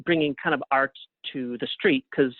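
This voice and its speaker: A man speaking as an interview guest in a casual, thoughtful, halting way over a tinny phone-like connection. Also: medium pitch, dry recording. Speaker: interview guest, playwright and artist. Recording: narrow tinny phone-like line.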